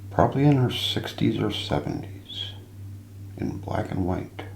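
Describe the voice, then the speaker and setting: Man, soft ASMR storytelling, low-pitched, hushed and deliberate, very expressive intonation, roomy recording with faint noise. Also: gravelly. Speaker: ASMR narrator hosting a mystery show roleplay. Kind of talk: soft ASMR scripted narration of ghost stories.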